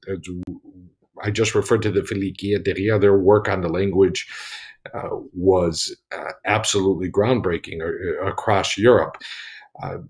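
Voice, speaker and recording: Husky man on a video call. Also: low voice. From United States. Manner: earnest, many restarts and fillers. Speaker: panel speaker. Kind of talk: online panel discussion. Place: home study with bookshelves.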